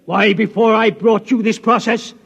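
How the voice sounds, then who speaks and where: An actor playing an aggrieved, nervous scientist with an indignant, repetitive manner, in 1950s radio drama style. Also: male voice, high-pitched, steady tone, normal speed, creaky, a bit bright, husky, full character voice. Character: aggrieved, nervous male scientist, whiny and obsessive. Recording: dull, reverberant vintage radio recording.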